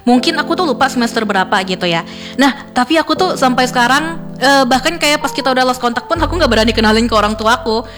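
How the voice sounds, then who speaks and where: A woman's voice: sits high, animated, quick pace, over music. A young woman hosting a radio show in a studio, talking in a chatty, giggly way full of fillers. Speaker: radio host. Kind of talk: casual radio chat.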